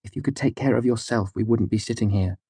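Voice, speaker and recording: Man voicing a husky, worldly, tough-but-caring woman. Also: on the low side, quick pace, no room sound, voice pushed up. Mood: frank, caring. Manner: matter-of-fact, arch, scolding yet gentle. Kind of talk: audiobook character dialogue.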